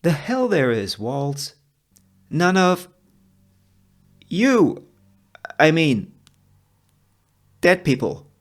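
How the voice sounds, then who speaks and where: A man's voice: fairly high pitch, very animated, very slow, long pauses, pitched above the performer's own voice, full character voice. A man voicing a stern, impatient gunman boss in a screenplay reading, barking curt commands and questions. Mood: threatening, exasperated.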